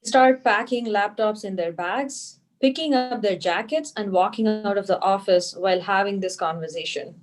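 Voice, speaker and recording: A woman's voice: mid-range voice, moderate pace, hardly any pauses, low-quality recording. A woman narrating stage directions at an amateur table read in a neutral, plain manner. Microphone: video call.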